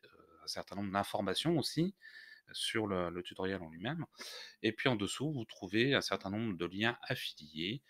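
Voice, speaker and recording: A man narrating an unscripted software tutorial, explaining step by step in a hesitant way. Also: low-pitched, no room sound, clean studio sound. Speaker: tutorial host.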